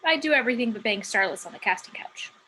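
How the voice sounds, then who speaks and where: A woman's voice: a little high, animated, fast, bright, forceful tone, a little hiss or hum, poor audio. A woman playing a cocky, sardonic muse who works as a stripper, in a script table read. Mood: boastful, wry. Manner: sardonic, brash. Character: sassy, cocky female muse working as a stripper.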